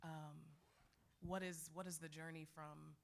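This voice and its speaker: A woman actor speaking unscripted in a post-show discussion, thoughtful and halting as she searches for her words. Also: sits low, little pitch movement, creaky. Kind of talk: reflective, unscripted panel talk.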